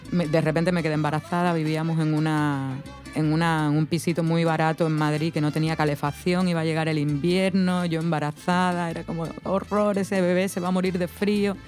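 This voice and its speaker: A woman in her thirties from Cádiz, with a mixed Andalusian Spanish accent, chatting and telling stories in a lively way. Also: sits low, fairly quick, soft background music. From Spain (Cádiz). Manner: chatty, animated storytelling with frequent "¿no?". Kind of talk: casual conversation.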